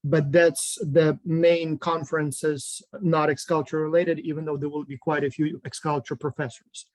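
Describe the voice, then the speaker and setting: A man's voice: on the high side, level tone, moderate pace, no echo. A man from Ukraine chatting casually on a video call, hesitant with many ums and restarts. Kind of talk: casual online group chat.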